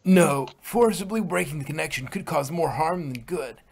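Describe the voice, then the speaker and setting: A man's voice: on the high side, normal speed, vocal fry. A man voicing a protective young stallion guard captain in a fanfic reading, earnest and authoritative. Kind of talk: fanfic read-aloud on stream.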